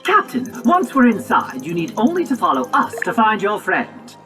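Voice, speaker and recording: A woman's voice: mid-range voice, sing-song, moderate pace, over music, natural voice. A woman voicing a chatty, know-it-all robot guide in a video game, explaining things in a prim, wordy way. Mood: matter-of-fact, reassuring. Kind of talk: video game cutscene dialogue and narration.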